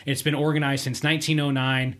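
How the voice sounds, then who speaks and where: A man's voice: on the high side, a little fast. A man hosting a casual podcast chat, talking loosely with lots of "like" and "right?" fillers. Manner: relaxed, many "like" and "right?" fillers. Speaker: podcast host.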